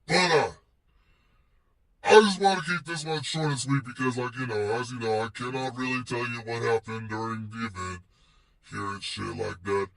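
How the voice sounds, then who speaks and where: A man's voice: mid-range voice, steady tone, normal speed, vocal fry, fairly bright tone, distant mic, poor audio. A man vlogging casually about wrestling in a slangy, rambling, playful way, swearing freely. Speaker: vlogger and show host. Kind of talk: casual wrestling chat vlog.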